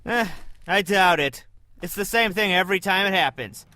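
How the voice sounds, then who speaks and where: A man's voice: high-pitched, lively intonation, normal speed, sharp tone. A man playing a jaded, skeptical soldier on monster watch, dry and dismissive in comic dialogue.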